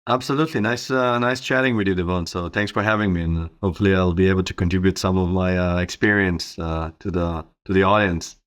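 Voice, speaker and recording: Deep-voiced Israeli man in conversation. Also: fairly even intonation, a little fast, few pauses, fairly bright tone. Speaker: interview guest, company co-founder. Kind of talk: conversational interview answers.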